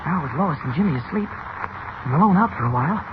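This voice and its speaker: Mild-mannered, reassuring reporter hero played by a man, slightly high voice, very noisy 1940s radio drama. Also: lively intonation, lightly acted. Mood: musing. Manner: reassuring, measured. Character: calm, helpful male newspaper reporter, mild-mannered hero. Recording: noisy, muffled old radio recording.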